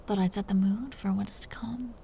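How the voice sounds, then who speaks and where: Seductive vampire mistress, acted by a woman, breathy whisper, slow and teasing, soft mellow tone, intimate roleplay audio, light background ambience. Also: mid-range voice, fairly even intonation, a little hiss or hum, natural voice. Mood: soft, intrigued. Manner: slow, teasing, softly condescending. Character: seductive dominant female vampire captor, amused and predatory.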